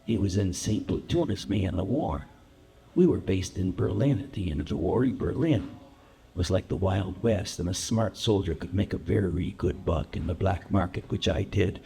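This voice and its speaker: Man acting a low, quietly menacing biker gangster. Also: expressive, gravelly. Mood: quiet menace. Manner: quiet, deliberate, threatening, profane. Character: menacing war-veteran biker gangster, quietly vengeful, coarse criminal. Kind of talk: dramatic monologue in a live play reading.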